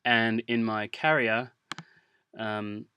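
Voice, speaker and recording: A man teaching music software in an informal tutorial, hesitant as he thinks aloud while demonstrating. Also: lowish voice, flat delivery, slow pace. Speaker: music production instructor. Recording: clear background noise, mouse clicks.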